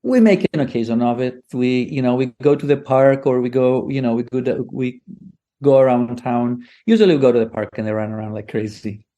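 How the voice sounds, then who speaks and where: Chatty man from Greece, mellow voice. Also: mid-range voice, brisk. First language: Greek. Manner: rambling, many restarts and fillers. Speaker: guest. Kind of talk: casual conversation.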